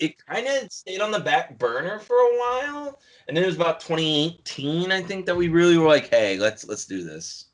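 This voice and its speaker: A young man reminiscing over a video call, halting with fillers and chuckling. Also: fairly high pitch, sing-song. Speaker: fan film creator. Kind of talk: casual chat on a video call.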